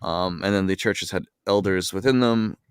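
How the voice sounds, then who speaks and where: A man in his thirties explaining things in a casual discussion, halting at times and then rushing ahead. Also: low-pitched, smooth, dry recording, clean studio sound. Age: 30s. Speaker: podcast guest.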